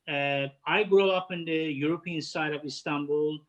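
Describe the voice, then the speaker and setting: A man in his fifties from Turkey, speaking with a Turkish accent, answering interview questions in halting speech full of "uh" fillers and restarts. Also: fairly high pitch, fairly even intonation, fairly bright tone, dull audio. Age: 50s. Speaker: guest, head of catering. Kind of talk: casual interview chat.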